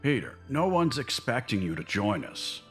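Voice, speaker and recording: A man playing a grieving but resolute older newsman and fatherly uncle in a comic dub, measured and earnest. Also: mid-range voice, big pitch swings, soft background music, natural voice. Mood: fatherly, firm. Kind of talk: dramatic comic dub acting.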